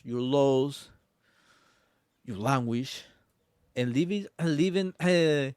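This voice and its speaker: Earnest Cuban-accented man, smooth dry voice. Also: mid-range voice, no echo. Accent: Cuban Spanish. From Cuba. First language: Spanish. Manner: halting, searches for words, earnest. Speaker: podcast guest. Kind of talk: casual conversation. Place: aboard a sailboat.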